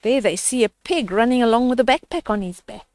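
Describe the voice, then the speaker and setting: A woman's voice: on the high side, fairly quick, darkish tone, no room sound. An older woman reading a children's story aloud, expressively, with explanatory asides and questions to young listeners.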